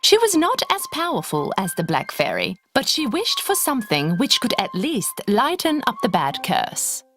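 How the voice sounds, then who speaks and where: A woman tells a bedtime fairy tale with a highly animated, fairly high voice. Her scripted narration is expressive, deliberate and dramatic. Music plays clearly behind her. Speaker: children's story narrator.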